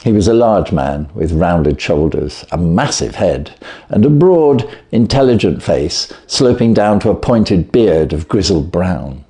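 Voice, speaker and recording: A man narrating a classic story as an audiobook, with a measured, expressive storytelling delivery. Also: low voice.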